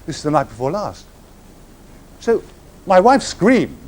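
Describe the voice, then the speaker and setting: A man telling comic stories to a live audience in grand, florid words with sharp comic timing. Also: very animated, unhurried. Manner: grand, florid wording with comic timing and sudden swings in pitch. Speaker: actor telling stories on stage. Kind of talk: theatrical anecdote to a live audience. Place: stage before an audience.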